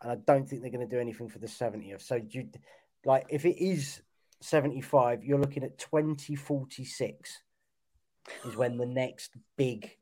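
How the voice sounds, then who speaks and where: A British man in his thirties, co-hosting a casual podcast chat, grumbling and sarcastic with quick asides. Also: medium pitch, mellow. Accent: British English. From United Kingdom. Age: 30s. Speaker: podcast co-host.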